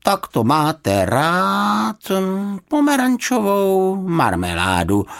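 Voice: medium pitch, huge pitch swings, vocal fry, pitched above the performer's own voice, full character voice. A storybook reader voicing a stout, motherly older housekeeper who is brusque, fussy and exclamatory. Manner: exclamatory, doubtful, scolding but kindly.

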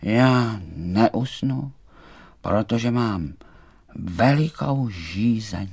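A man playing a carefree, tipsy male butterfly dandy in a storybook, childishly fussy, wheedling with drawn-out words. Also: on the low side, a little mellow, breathy edge, dry recording. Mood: whining, thirsty.

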